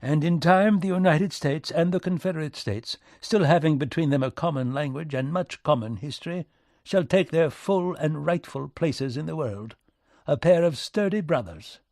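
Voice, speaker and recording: A man's voice: a little high, moderate pace, darkish tone, no echo, pitched above the performer's own voice, close to an everyday voice. Audiobook role: male envoy, titled, stiffly polite, formal and diplomatic, very dry studio sound a male narrator acting it out. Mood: relieved, flattering. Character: stiff, courteous aristocratic British diplomat.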